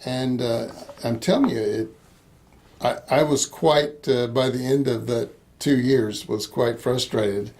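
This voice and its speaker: Older male interview host, gravelly texture, loose and chatty, hesitant restarts. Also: medium pitch, measured pace, a little mellow, slight background noise. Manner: rambling, hesitant restarts, laughs easily. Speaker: interviewer, missions organization host. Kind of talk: casual conversational interview.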